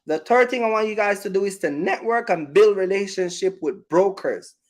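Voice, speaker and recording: A man with a high-pitched voice speaks straight to his viewers in an informal advice monologue. He is emphatic and repeats his points, regularly checking that listeners understand him. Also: normal speed. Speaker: trucking business YouTuber giving advice.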